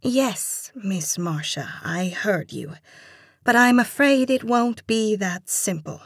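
A woman voicing a meek, polite but resolute immigrant woman in an audiobook story, soft-spoken and pleading. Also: mid-range voice, expressive, takes it slowly, husky, no echo, studio quality, pitched above the performer's own voice, exaggerated voice. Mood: careful, polite.

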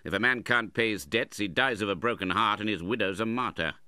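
A man's voice: low voice, animated, moderate pace, sharp tone, clear, resonant tone, no echo, clean studio sound, heavily acted. A man voicing a stern, miserly old uncle in a storybook, contemptuous and impatient. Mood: scornful. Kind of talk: dramatised classic novel reading.

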